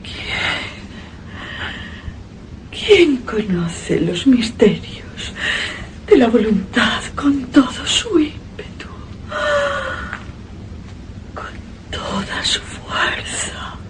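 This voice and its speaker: An actress playing a feverish dying woman in a radio horror drama, pleading in a breathy, halting, failing voice. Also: a little high, big pitch swings, full character voice. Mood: exhausted, whispering. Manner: gasping, halting, pleading delivery. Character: dying woman, feverish, anguished, defiant of death. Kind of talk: old-fashioned radio horror acting. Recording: noisy radio drama with heavy reverb.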